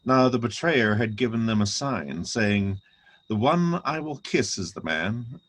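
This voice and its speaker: A man's voice: mid-range voice, lively intonation, measured pace. A man narrating a dramatic reading of scripture.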